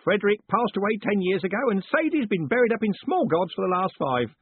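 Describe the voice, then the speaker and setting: A man voicing a loud, blunt old male merchant who bellows and calls people "lad". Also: sing-song, fairly quick, slightly rough, no echo, exaggerated voice. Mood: hearty. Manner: booming, hearty, uses "lad" and "young man". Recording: dry, tinny phone-like audio.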